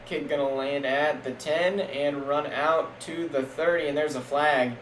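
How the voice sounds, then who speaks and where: A young man streaming casual, jokey play-by-play of a simulated football league, getting loud on big plays. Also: on the high side, steady tone, a little slow. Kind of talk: live-stream game commentary. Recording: echoey room with faint background noise.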